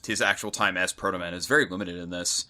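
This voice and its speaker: Male voice actor on a commentary track, slightly deep yet crisp-edged and bright, conversational delivery. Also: fairly even intonation, fast. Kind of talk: casual audio commentary chat.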